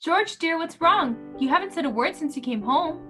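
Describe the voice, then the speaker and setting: A woman playing a gentle, worried wife and mother in a radio play, soothing and concerned. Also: extremely high pitch, lively intonation, moderate pace, soft background music, exaggerated voice. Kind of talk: radio drama acting.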